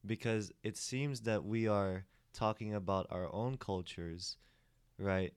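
A man with a very low voice talks through a casual group discussion, circling back with fillers and false starts. The sound is dry and close. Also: slow, clean tone, no room sound. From United States. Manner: rambling with many restarts and fillers. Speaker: discussion participant.

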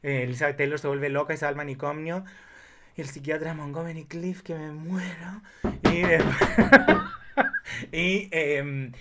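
A man chatting casually about films, telling things in an animated way with emphatic asides. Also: fairly high pitch, measured pace, slight background noise. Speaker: guest film enthusiast. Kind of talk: casual film chat.

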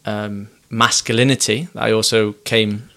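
A young man talks about himself in a soft, unhurried way. His voice sits fairly low and has a gravelly edge. He hesitates often and restarts his sentences. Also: flat delivery. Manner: soft-spoken, hesitant, many ums and restarts. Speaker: business owner and podcast guest. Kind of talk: reflective casual chat.

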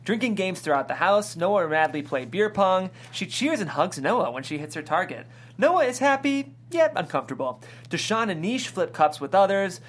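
A man reading scripted stage directions as narrator at a table read of a pilot. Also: high voice.

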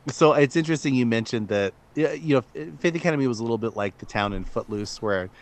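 A man co-hosting a podcast, who chats in a relaxed way with plenty of fillers and a few laughs. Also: mid-range voice, quick pace, no echo. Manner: relaxed, chatty, many fillers. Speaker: podcast co-host. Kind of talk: casual conversation.

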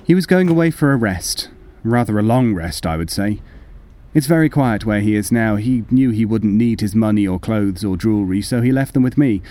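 A man's voice: on the low side, expressive, normal speed, dark tone, lightly acted. An actor playing a nervous, guilty murderer in an old-time radio horror play, stammering and overly polite as he hides his madness. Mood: feigned calm.

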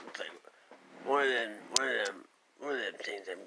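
A man telling a comic story in a slangy, stammering, repetitive and excitable ramble. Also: fairly low pitch, a little fast, creaky. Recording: slightly noisy recording with clicks.

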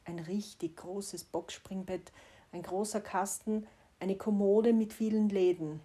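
A woman in her forties with a Styrian Austrian accent, reflecting on her life in a personal monologue. Her voice is mellow and slightly husky. She talks candidly, with a wry, gently self-mocking edge. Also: medium pitch, fairly even intonation, measured pace. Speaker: vlogger.

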